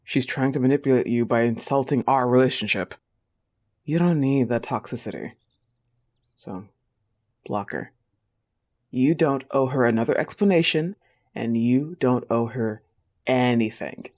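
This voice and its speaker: Man voicing a slow, intimate wolf girlfriend. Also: mid-range voice, darkish tone, lightly acted. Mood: restrained, firm. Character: possessive, tender young goth wolf girlfriend, half-feral. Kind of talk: intimate audio roleplay, ASMR-like.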